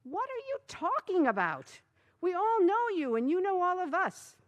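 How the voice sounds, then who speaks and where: Storybook casting: a shrill, scolding village wife, loud and lively, put on by a woman reader. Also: moderate pace, highs cut off, natural voice.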